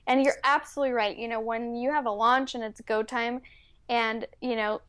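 A woman's voice: a little high, fairly even intonation, normal speed, a bit bright, clean tone, dry recording, clean studio sound. A woman from Mexico City whose first language is Spanish, chatting upbeat and friendly as an interview guest, with frequent ums and likes. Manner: friendly, upbeat, uses like and um often. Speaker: podcast guest, entrepreneur and psychotherapist. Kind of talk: casual interview chat.

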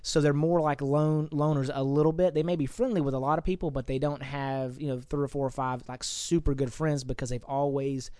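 A man's voice: medium pitch, steady tone, a little fast, hardly any pauses, dark tone, smooth, no echo, studio quality. A man hosting a podcast interview, talking casually and rambling, often repeating words as he thinks. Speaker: podcast host.

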